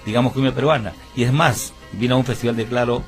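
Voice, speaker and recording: A man's voice: mid-range voice, steady tone, fast talker, soft background music. A man from Peru with a Peruvian Spanish accent, a musician and band founder, giving an earnest radio interview. He tells his story at length with many fillers and restarts.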